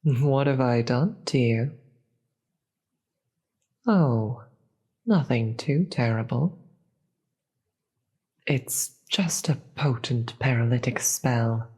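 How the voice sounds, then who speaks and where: A performer plays a regal demon queen in a fantasy audio drama. The voice is husky and breathy, with slow, deliberate, formal speech. The queen sounds sardonic and tired of it all. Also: medium pitch, darkish tone. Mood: condescending, amused. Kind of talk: scripted audio roleplay.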